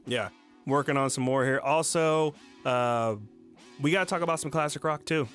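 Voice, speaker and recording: A man co-hosting a casual music podcast, easygoing and quick to laugh, on a close microphone. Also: fairly high pitch, fairly bright tone. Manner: easygoing, laughs often, signs off in a host tone. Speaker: podcast co-host.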